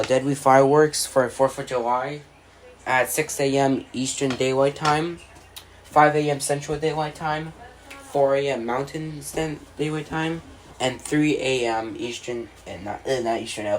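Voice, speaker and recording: Halting amateur emergency announcer with noisy background. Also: mid-range voice, fairly even intonation. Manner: halting announcer delivery with stumbles and repeats. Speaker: amateur voice actor reading mock emergency alerts. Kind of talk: scripted mock weather and emergency broadcast.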